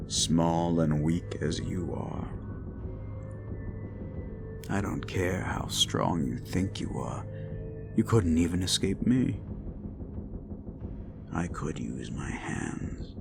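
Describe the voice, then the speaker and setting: A man playing a hulking sadistic slasher killer in a horror roleplay audio, gloating and menacing, savouring each pause and dropping into whispers. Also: low voice, vocal fry, heavily acted. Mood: threatening menace.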